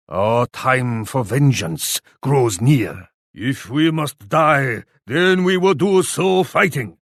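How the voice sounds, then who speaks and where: A man's voice: mid-range voice, a bit bright, dry recording, studio quality, heavily acted. An actor playing a proud, grim Japanese warlord in a video game, solemn and declamatory as he addresses troops and allies. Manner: solemn, declamatory, speaks of himself in third person. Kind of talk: theatrical video game voice lines.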